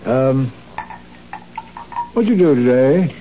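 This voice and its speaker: A calm, worried husband. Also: male voice, mid-range voice, slow, a little hiss or hum. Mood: concerned. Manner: calm, concerned, gently probing. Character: worried, patient husband, adult man. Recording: dull lo-fi 1970s radio drama.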